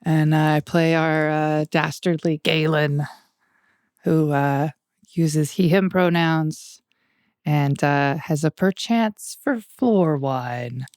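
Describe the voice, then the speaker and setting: A player on a tabletop game podcast, joking in a deadpan way. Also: male voice, a little high, takes it slowly, clean tone, no echo, clean studio sound. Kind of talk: casual podcast chat.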